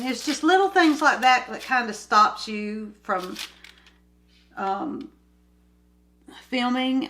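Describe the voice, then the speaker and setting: An older woman talks at an unhurried, drawn-out pace, her voice a little nasal because she is getting over a cold. She rambles cheerfully from one thought to the next while crafting at home, with a faint hiss under her voice. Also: fairly high pitch, a bit bright. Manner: rambling, chatty, easily sidetracked. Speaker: crafting vlogger. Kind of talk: casual chat while diamond painting.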